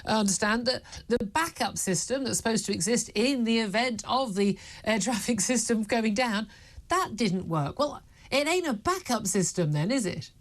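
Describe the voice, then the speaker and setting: A woman's voice: a little high, lively intonation, normal speed. A British woman presenting a live news interview in a chatty, emphatic and opinionated way.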